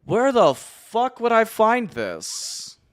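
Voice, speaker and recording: Slow-talking profane male streamer. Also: high voice, huge pitch swings. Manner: profane, muttering to himself while working. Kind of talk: casual live-stream commentary.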